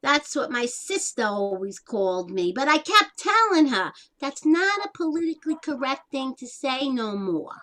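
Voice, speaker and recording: Woman acting a high-pitched, blunt older single aunt. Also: big pitch swings, normal speed, few pauses, bright, forceful tone, heavily acted. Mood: rueful. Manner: wry, plain-spoken, colloquial nonstandard grammar. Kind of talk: one-act stage comedy acting.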